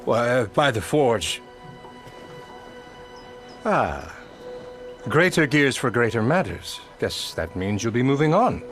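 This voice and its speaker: Pompous, swooping, sly town boss. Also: male voice, medium pitch, very animated, takes it slowly, long pauses, a bit bright, faint music behind. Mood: mocking, dismissive. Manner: pompous, theatrical. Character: pompous, sly male settlement boss, theatrically flattering and grudging. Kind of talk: video game character acting.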